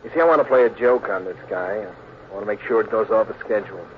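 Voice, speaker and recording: An actor playing a smooth, bossy American gambler and swindler with slangy speech, in 1940s radio crime drama style. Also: male voice, fairly low pitch, fast, lightly acted. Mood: smooth, persuasive. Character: slick menacing male big-time gambler and swindler. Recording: tinny noisy old radio broadcast.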